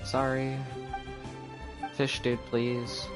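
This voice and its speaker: A young adult man chatting casually on a live game stream in a rambling, halting, low-key way. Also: monotone. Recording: game music behind voice. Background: video game music.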